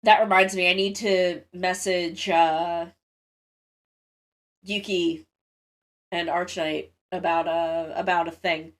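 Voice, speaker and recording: A woman's voice: lowish voice, level tone, slow, long pauses. A woman with a congested, sniffly nose chats casually to livestream viewers, rambling, interrupting herself and swearing freely.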